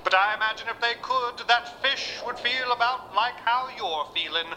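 A woman's voice: a little high, normal speed, bright, forceful tone. A woman playing a wry, folksy narrator in an audio drama, delivering scripted reflections. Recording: long reverb. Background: faint music.

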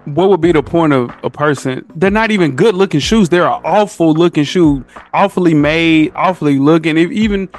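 Higher-voiced man, emphatic podcast talker. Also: normal speed, few pauses, faint music behind. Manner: emphatic, slangy, repeats points for stress. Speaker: podcast guest. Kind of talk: casual, opinionated podcast chat.

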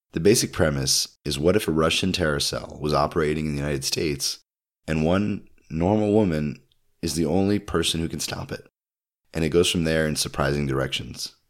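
A man hosting a podcast, giving a scripted introduction in a conversational way. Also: very deep voice. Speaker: podcast host.

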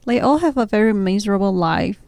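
A woman from Taiwan co-hosts a relaxed podcast chat, her voice sitting at a middle pitch. She backs up her guest with warm affirmations and strings her thoughts together with frequent "like" fillers. The recording is dry and close. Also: brisk, no echo. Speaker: podcast co-host. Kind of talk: casual chat.

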